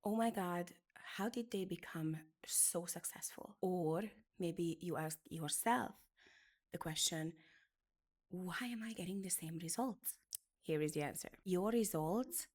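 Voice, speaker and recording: Hungarian-born woman, native Hungarian speaker, motivational beauty educator, earnest, slightly low voice. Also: a little slow, a little mellow. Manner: earnest, emphatic, repeats phrases for effect. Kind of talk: motivational talk to camera.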